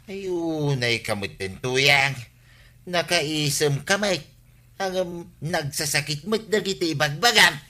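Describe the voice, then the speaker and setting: Piercing, grumbling Ilocano husband. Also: male voice, fairly high pitch, lively intonation, slow, sharp tone, full character voice. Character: whining, grumbling husband picky about his food. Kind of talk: Ilocano radio comedy-drama acting.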